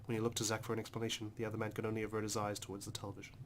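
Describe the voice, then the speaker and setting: A man's voice: fairly low pitch, monotone, racing, background noise. A man hosting a let's play, reading visual novel narration aloud dramatically with casual, emotionally involved comments. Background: game music.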